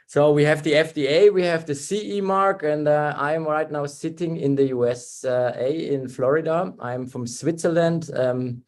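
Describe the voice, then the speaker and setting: A man from Germany, a German native speaker, giving a webinar presentation as a dental technician, talking in halting English with many fillers and restarts over a computer mic. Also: on the high side, fairly even intonation, a little mellow.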